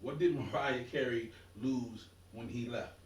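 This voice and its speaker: A man's voice: medium pitch, a little slow, reverberant, distant mic. A man hosting a podcast, casual and encouraging as he runs a music quiz. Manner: easygoing, encouraging, laid-back slang. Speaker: podcast host. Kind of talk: casual podcast chat, running a quiz game.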